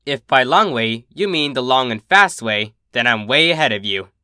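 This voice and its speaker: Guy playing a cocky big sister pegasus mare in a cartoon fan story, reassuring, bright n lively. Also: medium pitch, moderate pace, smooth, no room sound, clean studio sound. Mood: proud, warm. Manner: brash, casual, reassuring.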